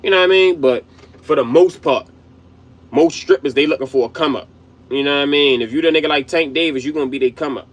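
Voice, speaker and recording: A man's voice: on the high side, fast, dull audio. Slangy young Baltimore man rambling. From Baltimore, USA. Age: young adult. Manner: loose slangy rambling, frequent "you know what I mean"; profane, emphatic. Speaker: channel host. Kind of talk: casual commentary monologue.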